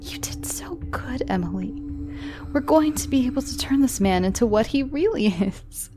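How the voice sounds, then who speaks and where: A woman voicing a gleefully cruel, doting mother in a horror story, breathy and whispering as she savors each word. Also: on the high side, expressive, normal speed, music in the background, pitched above the performer's own voice, natural voice. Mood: tender, menacing. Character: sinister mother, gleefully cruel, lovingly proud of daughter.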